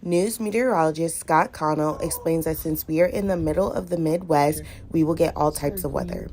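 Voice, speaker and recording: Low gravelly female reporter. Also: fairly quick, darkish tone, slight background noise. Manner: scripted narration. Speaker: news reporter. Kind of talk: news voice-over.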